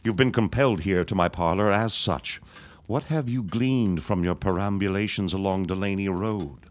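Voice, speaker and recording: An actor playing a scheming, vengeful elder reverend in a period radio drama, speaking in a pompous, florid, sneering manner. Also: male voice, deep voice, normal speed, lightly acted. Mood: pompous, falsely genial.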